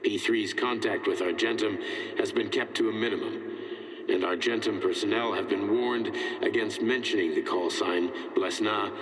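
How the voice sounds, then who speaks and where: An actor playing a composed older scientist in a video game, dictating clinical logs in a measured, formal manner. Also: male voice, lowish voice, steady tone, a bit bright, natural voice. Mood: detached, formal. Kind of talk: scripted video game acting, recorded report. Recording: long echoing reverb, played-back recording.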